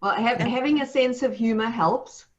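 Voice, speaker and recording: A woman's voice: fairly high pitch, moderate pace, highs cut off. A woman hosting an interview, asking nurses questions and encouraging them. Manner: encouraging, asks follow-up questions. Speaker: host.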